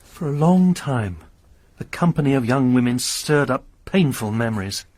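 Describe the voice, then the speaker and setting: A grave, genteel lodger in a radio drama, played by a man. His talk is soft-spoken and formal, in a mid-pitched voice. He sounds quietly composed. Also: lively intonation, moderate pace, no room sound, close to an everyday voice. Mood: solemn.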